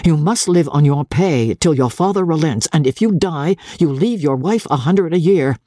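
Narrator playing a patient, husky-voiced army captain. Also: mid-range voice, animated, moderate pace, mellow, dry recording, clean studio sound, voice pushed up, close to an everyday voice. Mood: wry. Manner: placid, reassuring. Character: Dobbin, patient good-natured loyal army captain, male.

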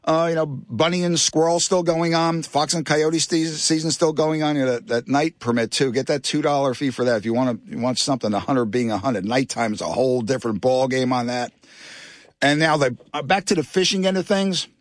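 A man hosting a fishing talk radio show, chatty and excitable, rambling from topic to topic. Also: medium pitch, fairly quick, no echo, studio quality. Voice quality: nasal.

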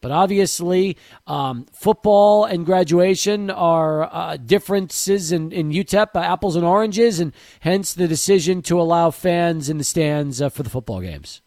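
A male sports radio host with a somewhat high voice, talking in a loose, rambling way and often repeating words as he gathers his thoughts. His voice is dry and close, with no room sound. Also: measured pace, a little mellow, dry recording. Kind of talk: casual radio interview.

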